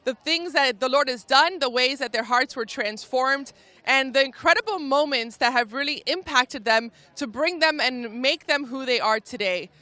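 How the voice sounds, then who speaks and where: A woman's voice: high-pitched, moderate pace, pushed and bright, no echo. A woman hosting a live broadcast, presenting with upbeat enthusiasm. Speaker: host.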